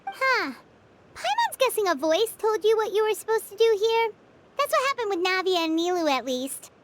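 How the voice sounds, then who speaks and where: A woman voicing a tiny childlike fairy companion in a video game, squeaky, blurting and easily indignant. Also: sing-song, normal speed. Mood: musing. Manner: blurting, refers to itself by name. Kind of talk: English video game voice acting. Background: loud game music.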